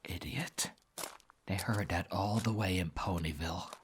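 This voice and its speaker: A man voicing crude, bullying male pony slavers with a rough, sneering, foul-mouthed delivery over music. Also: deep voice, measured pace, a little hiss or hum, pitched below the performer's own voice. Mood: scornful.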